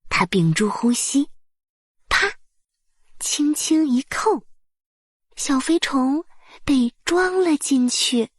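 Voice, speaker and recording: A woman narrating a bedtime story for small children in a soft, breathy voice, with gentle, soothing and careful phrasing. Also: high-pitched, expressive, takes it slowly, long pauses, no echo, clean studio sound.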